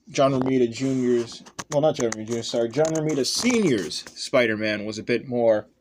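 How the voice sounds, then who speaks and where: A man's voice: medium pitch, a little mellow. A young man chatting casually and offhandedly as he shows off a hobby project, rambling and self-deprecating. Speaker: host of a toy customizing channel. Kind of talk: casual hobby showcase chat. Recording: clearly noisy homemade recording.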